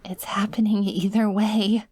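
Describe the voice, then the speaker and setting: A woman's voice: mid-range voice, little pitch movement, measured pace, darkish tone, husky. A woman playing an obsessive, lovesick, dying young witch in an intimate ASMR roleplay, with a clingy, pleading manner. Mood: apologetic, coaxing.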